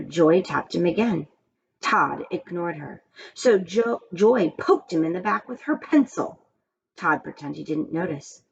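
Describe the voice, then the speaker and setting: A woman reading a children's story aloud in an expressive storytelling style. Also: fairly low pitch, gravelly, slightly rough. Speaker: story reader. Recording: dull, muffled, background noise.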